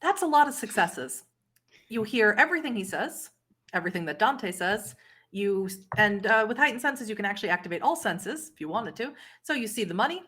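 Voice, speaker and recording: A woman's voice: mid-range voice, expressive, a little fast, bright, forceful tone, slightly rough. A woman acting as game master, narrating and refereeing a tabletop roleplaying game.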